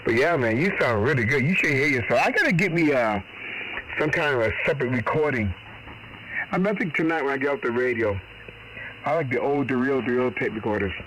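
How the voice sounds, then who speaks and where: A man's voice: medium pitch, animated, brisk, fairly bright tone. Man chatting over noisy ham radio. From Boston, United States. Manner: folksy, rambling, frequent "man" and "you know". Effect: heard over shortwave ham radio. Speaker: amateur radio operator. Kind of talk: casual radio chat. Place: home radio shack. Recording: noisy shortwave radio transmission. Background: radio static.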